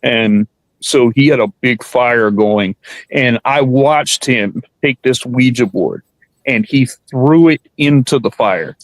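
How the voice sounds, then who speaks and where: A man from the South Carolina Low Country, hosting a podcast and chatting casually with many restarts. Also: takes it slowly, dry recording, rough recording. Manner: rambling with many restarts and fillers. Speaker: podcast host. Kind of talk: casual chat.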